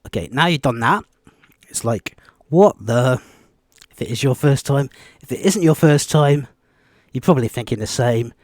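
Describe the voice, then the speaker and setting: A quiet-voiced man giving a rambling, off-the-cuff Bible study video, with many ums and restarts. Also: mid-range voice, animated, moderate pace, no echo, studio quality. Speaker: Bible teacher.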